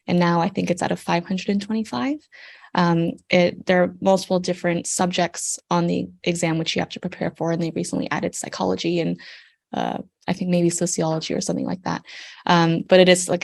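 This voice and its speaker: Young canadian-raised woman giving advice, low voice with lots of vocal fry, lots of ums. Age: young adult. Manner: friendly and explanatory, with frequent ums. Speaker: guest, surgical intern. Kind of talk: interview answers, giving advice.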